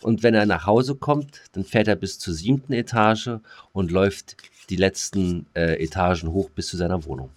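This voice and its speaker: Very deep-voiced man, dry close sound. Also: normal speed, dry recording. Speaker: podcast co-host. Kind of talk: casual chat.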